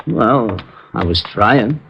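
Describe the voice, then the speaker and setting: A plodding, well-meaning sergeant in old radio style. He has a fairly low voice with dopey, hesitant delivery and faint recording noise. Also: animated, slow pace, lo-fi sound. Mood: dopey, earnest. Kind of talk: 1940s-50s radio comic relief acting.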